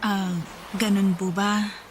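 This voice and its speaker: A woman plays an estranged granddaughter in a radio drama. Her medium-pitched voice is hesitant and courteous but cool, with faint ambience behind it. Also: level tone, takes it slowly, a little hiss or hum, close to an everyday voice. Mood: guarded, cold. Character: estranged granddaughter, guarded and aloof. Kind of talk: Tagalog radio drama acting.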